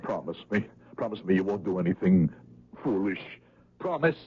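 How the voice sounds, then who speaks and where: A man's voice: low voice, animated, moderate pace, breathy edge. An actor playing an anguished, insecure, lonely man in a 1970s radio drama, earnest and pleading. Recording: tinny narrowband vintage radio recording.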